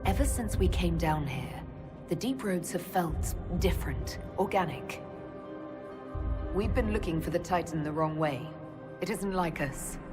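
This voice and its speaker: An actress playing a resolute elven woman mage who leads, calm and decisive, in a video game. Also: lowish voice, unhurried. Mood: awed. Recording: loud music behind. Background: loud game music.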